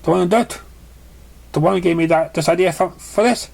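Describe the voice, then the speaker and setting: Man voicing chatty, higher-pitched female unicorn pony. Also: brisk, vocal fry, darkish tone. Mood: concerned, questioning. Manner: chatty, questioning, slightly rambling.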